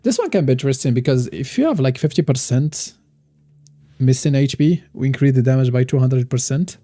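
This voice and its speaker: Dark-voiced man streaming a game. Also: mid-range voice, lively intonation, dry recording. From Morocco. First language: Moroccan Arabic. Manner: thinks aloud, chats with viewers, halting non-native phrasing. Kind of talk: casual live-stream gameplay commentary.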